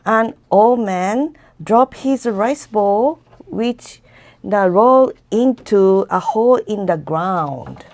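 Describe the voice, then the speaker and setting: Japanese woman, accented english, slow and halting, guest telling a folktale, average pitch. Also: animated, a little mellow. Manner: halting, searching for words. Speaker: guest storyteller. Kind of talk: explaining and retelling a folktale.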